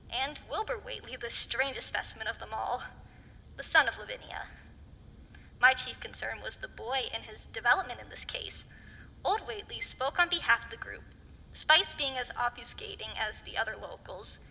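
Stern female doctor, radio drama, very bright high voice, clinical and precise, formal report delivery. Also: creaky, full character voice. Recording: hollow reverberant hall, muffled, faint noise.

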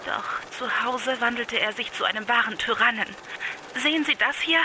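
An actress playing a pleading, scheming murderess in an old-fashioned radio melodrama, formal and theatrical. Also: female voice, on the high side, normal speed, noisy background, natural voice. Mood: pleading, bitter.

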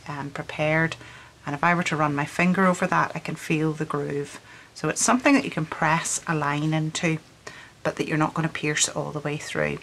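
A woman's voice: sits low, fairly bright tone, a little hiss or hum. A middle-aged woman from Scotland who speaks with a Northern Irish accent, an artist patiently explaining a craft workshop step by step. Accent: Northern Irish with faint Scottish traces. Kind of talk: instructional craft tutorial. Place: indoor room with storage shelves.

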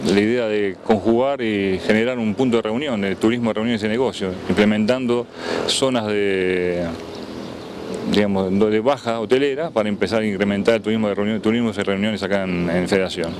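Low-voiced Argentine man, middle-aged. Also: a little fast. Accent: Argentine Spanish. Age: 50s. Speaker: trade fair organizer being interviewed. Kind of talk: on-location TV interview. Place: outdoors beside a building near a road. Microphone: handheld interview microphone. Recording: outdoor street and traffic noise.

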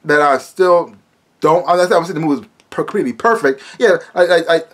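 A man's voice: fairly high pitch, brisk. An American man in his thirties giving a casual, emphatic movie review to camera, often repeating his words, recorded in a small room at home.

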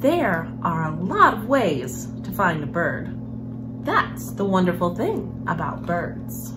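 A young woman hosting a children's story time, reading a picture book aloud in an expressive storyteller's manner. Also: lowish voice, slow. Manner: expressive, animated storyteller, with hushed passages. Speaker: host of a children's read-aloud series. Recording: music behind the voice. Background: background music.

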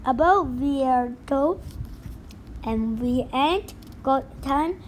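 A blustering storybook pirate captain who now drives a bus, put on by a woman reading aloud. The voice sits high, with a slow, drawn-out delivery thick with pirate slang and growled arrs. It is boastful on top and easily rattled underneath. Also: expressive, a little hiss or hum. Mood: hearty.

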